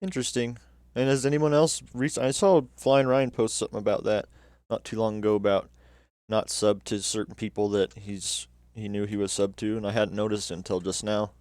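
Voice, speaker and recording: A man's voice: lowish voice, little pitch movement, fairly quick, darkish tone, no room sound, clean studio sound. Laid-back swearing livestream host, man. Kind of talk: casual livestream chat reacting to videos.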